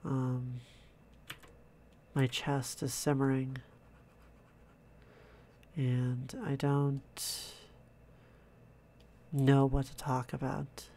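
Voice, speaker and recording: Slow, calm, gravelly-voiced man. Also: mid-range voice. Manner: soft-spoken, slow and calm, thinking aloud. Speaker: artist narrating a drawing process. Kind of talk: casual relaxed commentary over drawing.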